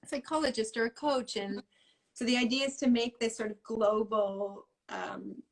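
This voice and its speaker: A woman's voice: on the high side, fairly even intonation, normal speed, poor audio. A woman guest in a casual interview, talking in a rambling way with frequent laughs. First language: English. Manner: rambling, many fillers and restarts, laughs often.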